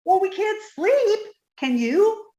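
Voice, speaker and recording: Woman playing a high-voiced, scatterbrained young secretary. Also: big pitch swings, slow, dark tone, reverberant, highs cut off, exaggerated voice. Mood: puzzled, earnest. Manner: guileless, earnestly literal. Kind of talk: 1950s radio comedy acting.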